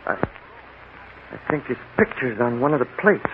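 An actor playing a nervous, guilty photographer turned thief in a 1940s radio drama, anxious and defensive. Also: male voice, medium pitch, animated, moderate pace, natural voice. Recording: tinny, faintly noisy 1940s radio recording.

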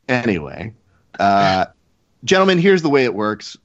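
A man's voice: on the low side, big pitch swings, slow pace. Playful emcee-style male podcast host. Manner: playful, announcer-like emcee delivery. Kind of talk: casual chat, game-show hosting. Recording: slightly dull lo-fi sound.

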